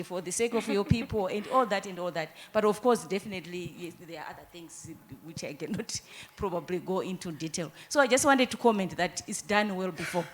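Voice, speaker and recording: A woman from Zimbabwe speaks up from the audience at a conference, holding a handheld mic. She explains her point earnestly, often stopping and restarting, in a voice of middling pitch. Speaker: audience participant. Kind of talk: comment from the floor at a conference. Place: conference room. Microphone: handheld microphone.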